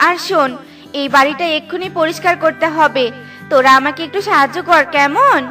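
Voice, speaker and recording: Woman voicing a tender widowed mother bird, cartoon, very high pitch, clear music bed. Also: a little fast, sharp tone. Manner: pleading, tender. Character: poor widowed mother bird, gentle and worried.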